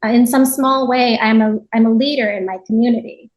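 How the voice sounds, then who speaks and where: A woman's voice: a little high, steady tone, brisk. A woman from Japan speaking as an interview guest, giving a reflective, conversational personal account with frequent small asides. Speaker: podcast guest, yoga teacher.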